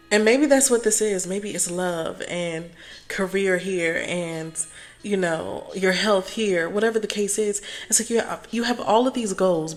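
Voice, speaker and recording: Hoarse, calm woman reading tarot. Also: fairly low pitch. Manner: calm, soft-spoken, chatty. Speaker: tarot reader.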